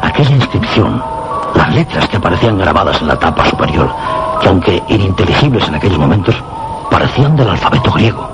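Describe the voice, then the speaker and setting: An ominous meteorologist. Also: male voice, deep voice, sing-song, brisk, low-quality recording. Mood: grave. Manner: grave, ominous storytelling. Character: male meteorologist narrating a dark tale. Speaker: narrator. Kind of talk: suspense radio drama narration. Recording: radio drama with loud music bed.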